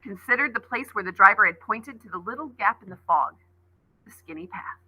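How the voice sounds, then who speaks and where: A woman's voice: mid-range voice, expressive, brisk, bright, forceful tone, slightly rough, dry recording, low-quality recording. A woman teacher reading a novel aloud expressively, adding brief asides.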